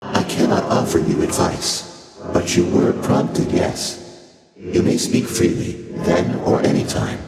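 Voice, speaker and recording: An actor playing an ancient, otherworldly primordial deity in a video game, speaking formally and aloofly with dry amusement through a heavy reverb effect. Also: male voice, deep voice, very animated, vocal fry, heavily acted. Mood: solemn, formal. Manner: formal, archaic, deliberate, aloof phrasing. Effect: heavy otherworldly echo reverb. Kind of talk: video game character voice lines. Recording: cavernous long reverb, loud music behind.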